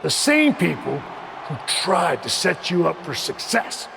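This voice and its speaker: A man's voice: very animated, unhurried, creaky, full character voice. An actor playing a stern, exasperated veteran wrestler turned boss, an American man speaking emphatically in a scolding wrestling promo. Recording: booming arena reverb, faint noise.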